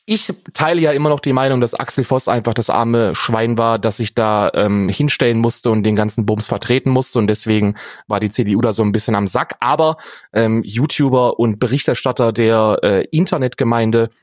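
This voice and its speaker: A man's voice: medium pitch, brisk, dry recording, clean studio sound. A man co-hosting a casual podcast, bantering in an ironic, slangy way. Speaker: podcast co-host.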